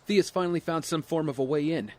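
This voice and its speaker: A man's voice: a little high, fast talker, close to an everyday voice. A man acting in an audio drama as an earnest, skeptical older brother who leads a team. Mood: concerned. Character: serious, cautious big brother leading a team. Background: music.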